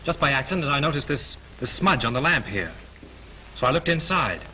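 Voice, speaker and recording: A man's voice: mid-range voice, sing-song, fairly quick, pushed and bright, rough recording. A young man playing a confident amateur sleuth with a breezy, joking manner, in a 1930s film. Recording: hissy 1930s film soundtrack.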